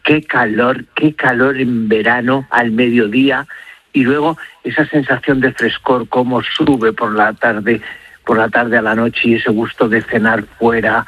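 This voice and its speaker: A man's voice: medium pitch, fairly even intonation, unhurried, dry recording, lo-fi sound. A middle-aged man from Spain with a Castilian accent, talking effusively and conversationally on the radio, rambling and repeating words for emphasis. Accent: Castilian Spanish. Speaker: radio travel and food contributor. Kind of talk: enthusiastic conversational radio talk.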